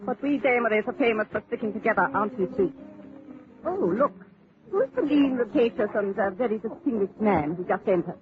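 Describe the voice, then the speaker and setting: A woman's voice: mid-range voice, lively intonation, fairly quick, over music, natural voice. Woman playing swooping, catty English society hostess. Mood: arch, teasing. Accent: British upper-class. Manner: gushing, arch, condescending. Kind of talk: 1940s radio acting. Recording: noisy, tinny 1940s radio broadcast.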